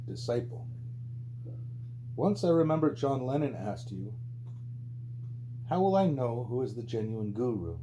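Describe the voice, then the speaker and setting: A man reading a spiritual book aloud as scripted audiobook narration, with a mellow voice. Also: sing-song, unhurried, long pauses, poor audio. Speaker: audiobook reader. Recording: low hum and background noise.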